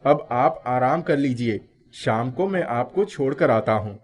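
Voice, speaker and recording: A man voicing a kind, humble schoolboy in an animated moral story, speaking politely and expressively. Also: medium pitch, a little slow, voice pushed down, close to an everyday voice. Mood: polite, helpful. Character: kind, earnest schoolboy, humble and easily flustered.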